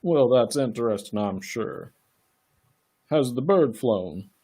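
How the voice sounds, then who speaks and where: A man's voice: mid-range voice, animated, slow pace, dark tone, slightly rough. A man playing a patronising, officious county attorney in a live radio play. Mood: condescending. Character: condescending, brisk male county attorney.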